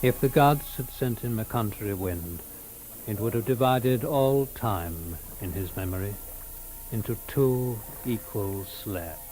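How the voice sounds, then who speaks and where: Male storyteller for literary fiction: solemn, unhurried, soft dark tone. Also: on the low side, animated, a little hiss or hum. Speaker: narrator. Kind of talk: scripted literary story narration.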